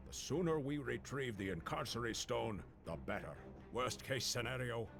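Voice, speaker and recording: Stern gravelly monster hunter boss in a video game, very serious, lively pitch, music behind. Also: male voice. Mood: grave, measured. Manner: measured, authoritative, serious. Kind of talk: dramatic video game voice acting. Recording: music under the voice.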